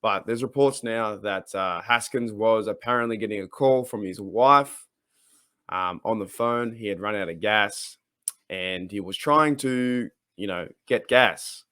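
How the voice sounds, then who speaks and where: Australian man, halting podcast host. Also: on the low side, bright, forceful tone, dry recording. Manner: rambling, hesitant, halting delivery. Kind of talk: casual sports podcast chat.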